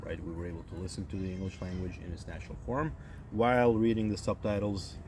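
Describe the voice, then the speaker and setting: A man in his thirties from Croatia, answering interview questions in a relaxed way and often saying "you know" and "right?". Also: sits low, quick pace, slight background noise. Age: 30s.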